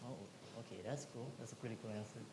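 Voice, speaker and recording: A man's voice: medium pitch, steady tone, quick pace, darkish tone. A man moderating a Q&A at a tech meetup, prompting the guest and the audience in a friendly, upbeat way. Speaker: event moderator. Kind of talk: hosting a Q&A. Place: meetup event room. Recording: echoey room.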